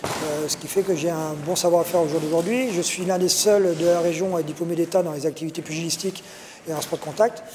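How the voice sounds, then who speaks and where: A fairly high-voiced male coach talks through his club's results, pausing on fillers and restarting his sentences. He is recorded in a gym with a long booming reverb. A steady hiss sits clearly under him. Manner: hesitant, many fillers and restarts. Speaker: martial arts coach. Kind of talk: interview answers. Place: martial arts gym.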